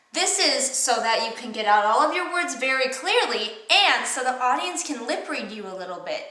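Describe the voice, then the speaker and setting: Nasal Wisconsin woman, bright and roomy. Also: a little high. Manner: clear, over-enunciated, animated. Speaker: acting teacher. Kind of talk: enthusiastic how-to vlog. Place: echoey indoor room. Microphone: camera mic across the room. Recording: roomy echo from far camera.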